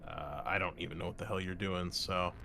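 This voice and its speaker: A man's voice: deep voice, fairly even intonation, brisk, creaky, pushed and bright, a little hiss or hum. A man running an online tabletop game as game master, rambling with many fillers and self-corrections.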